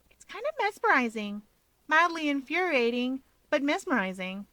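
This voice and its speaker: A woman voicing a calm, wry young woman with a light, gently probing manner in a story reading. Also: high-pitched, normal speed, dry recording, voice pushed up, exaggerated voice.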